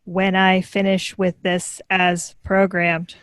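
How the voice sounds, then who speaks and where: A woman acts out an obedient little android girl from a sitcom. She speaks slowly and mechanically, taking everything literally, in a stilted, emotionless manner. The sound is close and dry. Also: lowish voice, monotone, fairly bright tone, no room sound. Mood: flat, obedient. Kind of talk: sitcom table read.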